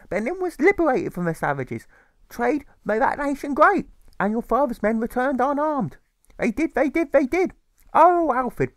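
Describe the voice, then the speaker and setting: A man's voice: very high-pitched, dry recording, voice pushed up, exaggerated voice. A man voicing an emotional, proud mother who lectures her son in fervent, repetitive, theatrical audiobook style. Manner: fervent, repetitive, exclamatory declaiming. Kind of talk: theatrical audiobook character reading.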